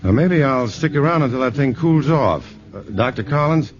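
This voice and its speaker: An actor playing a shy, self-deprecating scientist in a 1950s radio drama. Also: male voice, medium pitch, expressive, normal speed, faint music behind, lightly acted. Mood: modest, easygoing. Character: modest, bashful male physicist. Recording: muffled vintage radio broadcast.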